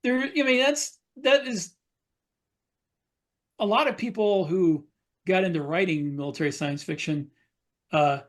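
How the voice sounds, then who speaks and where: Male podcast panelist. Loose, hesitant delivery, feels his way through sentences. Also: high voice. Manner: halting, searching for words. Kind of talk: casual panel discussion.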